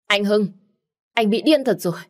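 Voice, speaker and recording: A woman voicing a jealous young wife who pleads and accuses in a shrill, raised voice. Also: animated, moderate pace, lightly acted. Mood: shrill accusing.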